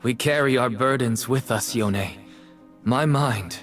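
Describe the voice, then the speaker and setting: An actor playing a sorrowful, reflective artist mage in a video game, speaking in solemn, poetic lines. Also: male voice, medium pitch, slow pace, soft background music, close to an everyday voice. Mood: melancholy.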